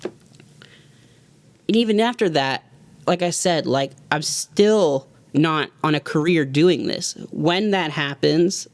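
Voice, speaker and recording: A man's voice: on the high side, slow, dry recording. A man in his thirties, a music producer, giving an earnest, heartfelt talk to his viewers in a loose, rambling way. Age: 30s. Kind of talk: reflective motivational vlog talk.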